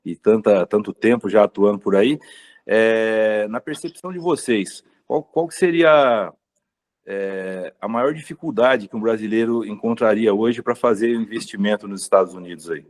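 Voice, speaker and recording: Low-voiced man hosting a webinar. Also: moderate pace, darkish tone. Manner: loose, filler "aí" and "né". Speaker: webinar host.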